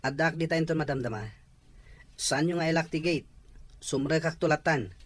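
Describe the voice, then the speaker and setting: An actor playing an evasive, easygoing young son in a radio drama. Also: male voice, fairly high pitch, moderate pace, close to an everyday voice. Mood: breezy, evasive. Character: evasive, easygoing young son who likes his friends.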